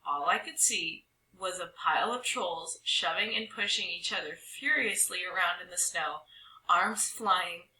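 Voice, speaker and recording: A woman reading a children's storybook aloud with expressive, dramatic narration, stretching some words and hushing others. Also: on the low side, unhurried, slight background noise. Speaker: storybook reader. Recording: roomy echo.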